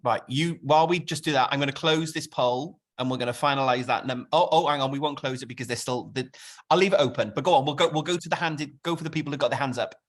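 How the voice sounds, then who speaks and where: A man from the UK co-hosting a casual video call party, chatty and lively with a self-mocking humor. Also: medium pitch, fast talker. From United Kingdom. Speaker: co-host. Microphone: video call mic.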